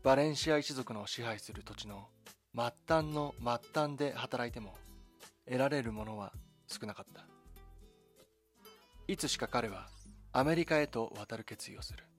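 A man narrates a documentary drama in a solemn, measured way. His voice sits at a medium pitch, and he sets out the story in short, deliberate phrases. Music plays underneath him. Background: background music.

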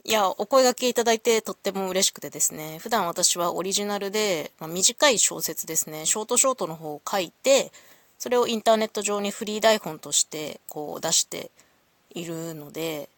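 A woman's voice: medium pitch, brisk, no room sound. A woman hosting a solo audio show, talking casually to listeners in a polite, reflective, explanatory way.

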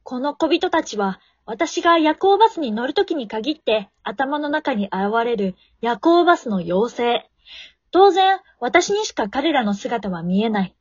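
A woman's voice: high voice, no echo, lo-fi sound. A woman playing an exhausted young office worker in an amateur radio drama, snapping back in exasperation. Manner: snappy, exasperated comic retorts.

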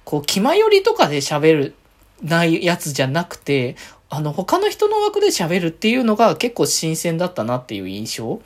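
A woman's voice: fairly low pitch, wildly swooping pitch, normal speed. A woman from Aichi in Japan hosting a podcast alone, chatting casually and easygoing as she looks back on her week. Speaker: podcast host. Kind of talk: casual solo chat, recapping the week.

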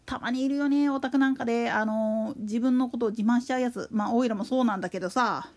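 A woman's voice: fairly high pitch, fairly even intonation, quick pace, darkish tone. A woman hosting a solo podcast, talking casually in chatty, slangy, colloquial speech. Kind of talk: casual monologue about a radio announcer.

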